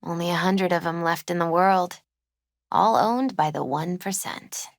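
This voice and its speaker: An actress voicing a gentle, spiritual young woman who reads tarot in a video game, speaking softly and in measured, wistful phrases. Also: on the low side, creaky, no echo, studio quality. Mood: wistful, reminiscing. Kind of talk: video game character dialogue and tarot readings.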